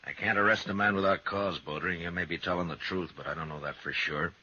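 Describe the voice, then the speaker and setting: An actor playing a steady, authoritative American frontier marshal in 1950s radio western style, measured and terse. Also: male voice, sits low, full character voice. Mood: firm, cautious. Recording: dull, noisy 1950s radio recording.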